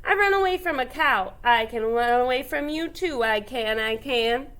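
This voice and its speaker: A woman's voice: on the high side, lively intonation, normal speed, fairly bright tone. A woman voicing a cheeky, boastful little gingerbread boy in a storybook, chanting his taunts in a sing-song way.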